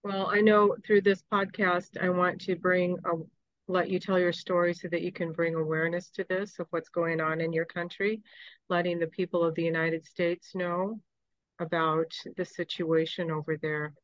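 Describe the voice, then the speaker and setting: A woman hosting a podcast, gently asking questions and recapping her guest's answers. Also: fairly low pitch, level tone, normal speed, no room sound, lo-fi sound. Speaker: podcast host. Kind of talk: interview questions.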